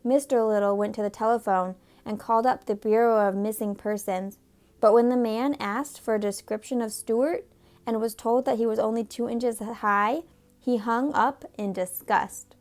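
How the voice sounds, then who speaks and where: A woman reads a children's book aloud at a measured pace, pausing now and then to talk about the pictures. Her voice sits on the high side, with faint music playing underneath. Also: fairly even intonation, a little mellow. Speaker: storybook reader.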